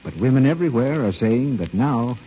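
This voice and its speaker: A man announcing on an old-time radio show, reading a scripted commercial in a polished, emphatic sales pitch. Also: lively intonation, normal speed, a little hiss or hum. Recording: muffled old radio broadcast.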